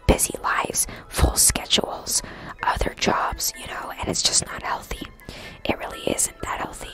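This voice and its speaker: A woman's voice: on the high side, fairly bright tone, low-quality recording. A woman whispering breathily and chattily to viewers on a casual ASMR gaming live stream. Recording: music behind the whispering. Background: clear background music.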